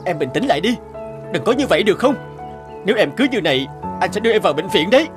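Gentle, worried cartoon husband, music behind. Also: male voice, high-pitched, very animated, fast. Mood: alarmed pleading. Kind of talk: dubbed cartoon drama acting. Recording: studio voice under loud music.

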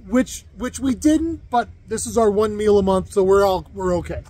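A man's voice: sits high, animated, normal speed, mellow. A man hosting a fishing show outdoors by a lake, chatting casually and rambling with fillers and restarts. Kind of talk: casual outdoor chat and demonstration. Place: lakeside outdoors.

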